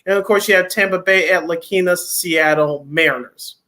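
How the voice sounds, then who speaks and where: A man co-hosts a sports radio show into a studio mic, his voice high and bright. He moves fast through lists of games and throws in wry, offhand remarks along the way. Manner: reads schedules in quick runs, wry asides. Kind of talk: casual sports radio chat.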